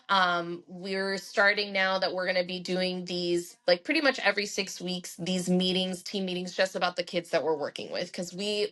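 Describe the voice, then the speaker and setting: A woman's voice: medium pitch, fairly bright tone. A woman with a mid-range voice talks as a guest in a conversational interview. She explains things in an articulate way, and Spanish is her first language. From Miami area, Cuban heritage. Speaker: guest, behavior analysis practice founder.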